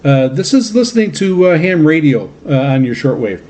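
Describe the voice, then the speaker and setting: A man hosting a radio program in a casual mailbag style, speaking haltingly with very frequent hesitations. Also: a little high, very animated, mellow. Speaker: radio show host.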